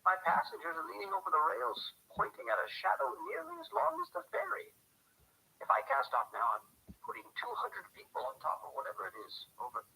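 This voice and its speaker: An actor playing a strained, disbelieving ferry captain with a raspy voice, heard through a radio filter in a radio drama. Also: male voice, very high-pitched, huge pitch swings, a little fast, bright, forceful tone, full character voice, rough recording. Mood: alarmed.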